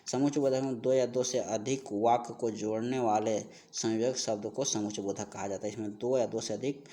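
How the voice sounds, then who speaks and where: A man's voice: on the low side, steady tone, normal speed, dark tone. A man giving an instructional exam-prep lesson, reading out questions and repeating the answers for emphasis in a didactic way.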